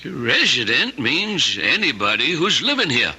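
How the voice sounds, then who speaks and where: A man's voice: medium pitch, very animated, unhurried, pushed and bright, breathy edge, heavily acted. An actor playing a skeptical, placating mailman in an old-time radio drama, folksy, hesitant and soothing. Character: skeptical, placating neighborly mailman humoring a troubled woman.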